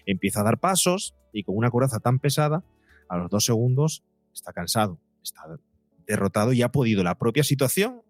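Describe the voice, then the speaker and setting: A man's voice: lowish voice, no room sound. Spanish man explaining, in a dry close recording. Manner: explains with examples and repeats words for emphasis. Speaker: psychologist and podcaster, interview guest. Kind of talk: explanatory conversational interview talk.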